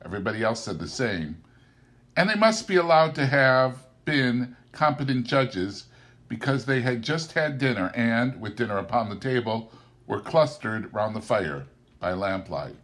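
A man reading a classic story aloud as an audiobook narrator, in an expressive, theatrical storytelling manner. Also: mid-range voice, unhurried. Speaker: audiobook reader.

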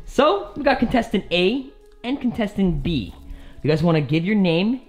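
A man, talking playfully and excitedly to camera as a vlog host. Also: sits high, moderate pace. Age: 20s. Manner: animated, playful, hyped. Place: living room at night. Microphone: handheld vlog camera at arm's length. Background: faint music.

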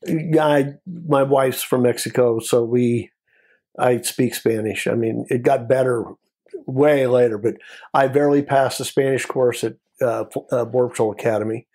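An American man in his sixties with a husky, gravelly voice talks as a guest in an interview. He tells his stories in a casual, anecdotal way, often in short clipped phrases, at a middling pitch. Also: steady tone, normal speed, mellow. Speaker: guest, former Border Patrol agent.

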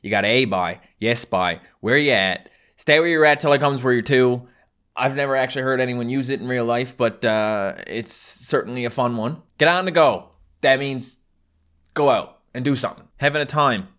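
A man's voice: mid-range voice, moderate pace, fairly bright tone. A young man with a thick Newfoundland accent talks straight to camera from a small room at home. His manner is playful and self-mocking, full of jokes about how he talks. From Newfoundland, Canada. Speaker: YouTuber. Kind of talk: casual vlog to camera.

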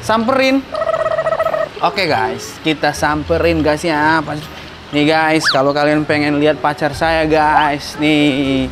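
A man's voice: a little high, slow pace, background noise. A young man filming a comedic prank vlog on an outdoor café terrace, joking playfully and rambling. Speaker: vlogger.

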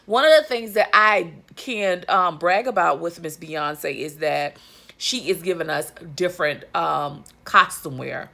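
Gravelly low-voiced woman vlogging. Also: measured pace, pushed and bright. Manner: chatty, emphatic, lots of fillers and asides to viewers. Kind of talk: casual commentary to camera. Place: living room, on a couch indoors. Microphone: phone or webcam at arm's length.

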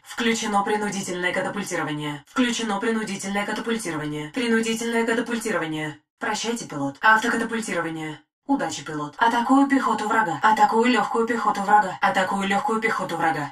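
Composed game war-machine AI played by a woman, female, medium pitch, crisp scripted battle reports. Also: a bit bright, close to an everyday voice. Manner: crisp, formal military reporting. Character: female combat robot titan AI, loyal, composed. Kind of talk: short scripted combat callouts.

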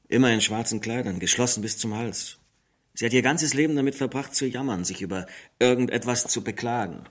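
A man's voice: medium pitch, breathy edge, lightly acted. An actor playing a frank, affable village man in a German radio drama, speaking reflectively with some hesitation.

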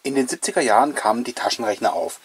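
A man's voice: mid-range voice, lively intonation, fast talker, husky. A man, giving chatty, playful, nostalgic commentary as the host of a casual video show. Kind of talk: casual, jokey commentary on an old mail-order catalogue.

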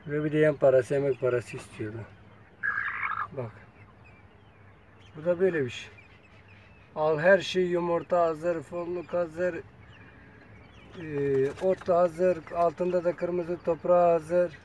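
A man's voice: fairly high pitch, fairly even intonation, slow pace, pauses often, darkish tone, a little hiss or hum. A man talking casually to his viewers while filming his chickens in an outdoor yard on a phone camera, chatty and coaxing. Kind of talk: casual vlog talk to viewers.

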